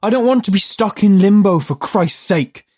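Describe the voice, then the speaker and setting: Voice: mid-range voice, normal speed, no echo, studio quality, close to an everyday voice. A narrator doing a furious foul-mouthed man. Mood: angry. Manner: shouting, swearing, exasperated. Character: foul-mouthed angry young man, ex-convict.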